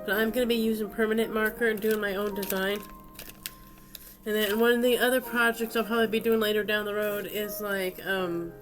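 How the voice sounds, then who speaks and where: A woman's voice: medium pitch, steady tone, brisk. Stuffy-nosed woman chatting over music. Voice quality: stuffy, congested. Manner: rambling, unscripted narration while working. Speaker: craft video host. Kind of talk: casual crafting chat.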